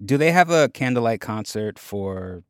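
A man hosting a casual radio podcast, playful and quick with banter. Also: mid-range voice, animated, no echo, clean studio sound. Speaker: podcast host.

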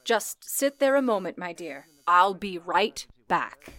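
An actress playing a composed, authoritative supervisor of telephone operators in a 1930s-style radio play, measured and formal. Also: female voice, fairly low pitch, big pitch swings, fairly bright tone, no room sound, heavily acted. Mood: composed, grave.

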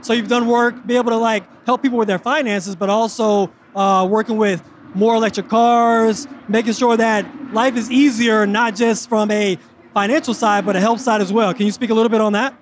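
A man hosting an interview with a high, bright-toned voice and an upbeat, hype-driven delivery. He speaks outdoors at a racetrack, with faint noise in the background. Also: fairly even intonation, normal speed. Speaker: host.

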